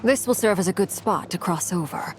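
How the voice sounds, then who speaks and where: An actress playing a cold, composed noble commander who speaks in a measured, formal way in a video game. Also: female voice, lowish voice, lively intonation, very noisy, lightly acted.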